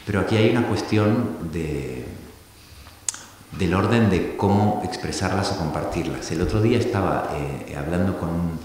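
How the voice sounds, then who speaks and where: A middle-aged man from Argentina giving a reflective public talk, thoughtful and halting, often restarting his sentences. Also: low voice, lively intonation, a little mellow. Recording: echoey hall recording from a distance with faint hiss.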